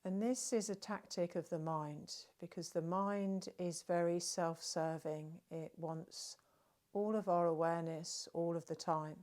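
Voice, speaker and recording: A woman leading a guided relaxation, speaking gently and soothingly, with long unhurried pauses between phrases. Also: lowish voice, steady tone. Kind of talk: guided meditation narration.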